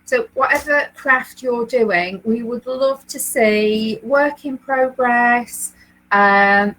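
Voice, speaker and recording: A woman's voice: mid-range voice, a bit bright, slight background noise, low-quality recording. A woman from Leeds, co-hosting a knitting livestream from home, chatting casually with lots of ums and easy laughs. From England, Leeds area. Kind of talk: casual chat, reading viewer comments.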